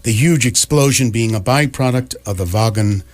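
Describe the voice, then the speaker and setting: A man's voice: mid-range voice, normal speed, natural voice. A man playing a wry storyteller who narrates a science fiction radio comedy.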